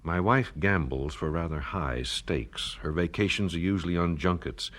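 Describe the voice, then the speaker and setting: An actor playing a worried, wealthy landowner in a 1970s radio drama, speaking in a hesitant, measured, troubled way. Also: male voice, sits low, fairly bright tone. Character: weary wealthy landowner, worried father of a kidnapped family.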